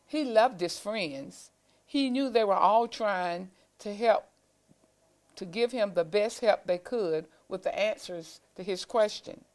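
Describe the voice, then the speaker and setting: Woman reading a kids book out loud, really slow, voice goes up and down a lot. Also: medium pitch. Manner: deliberate, expressive storytelling. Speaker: reader, school staff member. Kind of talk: children's storybook read-aloud.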